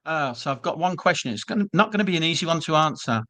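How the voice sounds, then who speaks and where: A man's voice: fairly high pitch, lively intonation, fast talker, pushed and bright, no echo. A British man presenting an online webinar for a business school, chatty and reassuring with frequent ums and uhs, speaking over a video call. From Britain.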